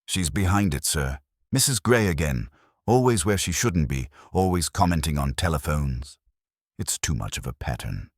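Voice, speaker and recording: A man's voice: extremely low pitch, lively intonation, normal speed, no echo, studio quality. A man voicing an eager, deferential police sergeant who presses his points insistently in a detective story. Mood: indignant. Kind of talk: detective audiobook character reading.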